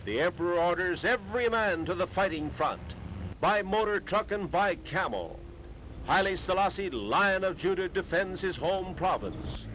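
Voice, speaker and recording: A man's voice: sits high, animated, moderate pace, background noise. An American man narrating a 1930s newsreel in a dramatic, declamatory manner on an old period soundtrack.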